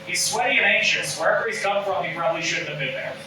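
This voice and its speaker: High-voiced man reading stage directions, echoey room. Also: fast talker. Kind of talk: scripted narration at a table read. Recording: echoey room, distant mic, noisy.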